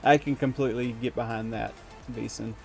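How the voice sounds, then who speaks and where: A man's voice: mid-range voice, fairly even intonation, fairly quick, vocal fry, faint music behind. A man from the United States chatting with his viewers while gaming, rambling and chuckling and poking fun at himself. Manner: rambling, chuckling, self-deprecating. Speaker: streamer.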